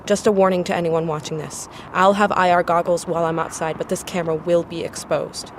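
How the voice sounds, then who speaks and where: Actress as low-voiced woman explorer on noisy recorder. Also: level tone, a little fast, lightly acted. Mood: cautious, deliberate. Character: woman explorer recording a video log, cautious and curious. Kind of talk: found-footage audio drama acting.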